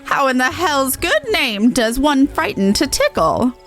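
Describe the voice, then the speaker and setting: A woman voicing a pompous, gleefully macabre demon showman from a game, with a grandiose, drawn-out delivery. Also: high voice, very animated, fairly bright tone, faint music behind, voice pushed up, full character voice. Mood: vexed, scheming. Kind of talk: voiced reading of game dialogue.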